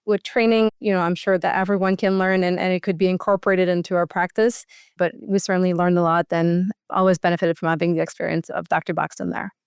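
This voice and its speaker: A woman's voice: fairly low pitch, level tone, fast, clean tone, dry recording, clean studio sound. A woman speaking as a cardiologist in an interview, explaining a procedure in a conversational style.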